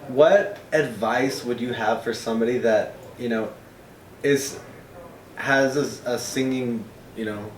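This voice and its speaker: A man's voice: medium pitch, slow pace, recorded from a distance, slight background noise. Off-mic man hosting in echoey room. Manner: encouraging, loose rambling questions. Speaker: host. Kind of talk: casual interview chat.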